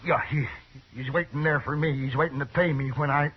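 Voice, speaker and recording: A man's voice: on the high side, steady tone, brisk, husky. A man playing a shifty, cowardly American hired gunman in a 1950s radio western, with a folksy, evasive, faltering way of talking. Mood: frightened, pleading. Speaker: radio drama actor. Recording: muffled, hissy old radio recording.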